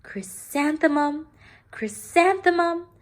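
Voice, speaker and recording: Female teacher narrating a picture book for young pupils, deliberate and expressive, very varied intonation. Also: high-pitched.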